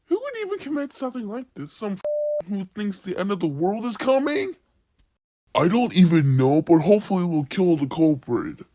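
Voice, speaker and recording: A man acts out an agitated fellow on a manhunt in an audio drama, heard from off-mic. His pitch is high and jumps around widely, excitable and urgent. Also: moderate pace, slight background noise. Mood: shaken, angry.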